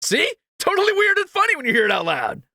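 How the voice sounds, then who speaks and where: A man's voice: extremely high pitch, huge pitch swings, fast, gravelly, pushed and bright, no echo, studio quality, full character voice. A man playing a greedy, cheeky young thief in a fantasy audio roleplay, teasing and flippant. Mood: playful.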